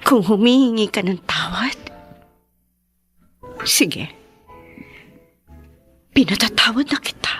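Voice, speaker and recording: A woman's voice: mid-range voice, lively intonation, fairly bright tone, soft background music. A woman playing an earnest, emotional adult daughter, devoted and wounded, in a Filipino radio drama. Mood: bitter, firm. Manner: slow, emotional, earnest delivery. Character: devoted, wounded adult daughter caring for her sick father.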